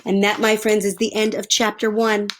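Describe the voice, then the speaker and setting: A woman's voice: mid-range voice, flat delivery, normal speed. A woman reading a children's storybook aloud like a teacher, explaining things simply for young listeners. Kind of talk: storybook read-aloud with plain asides.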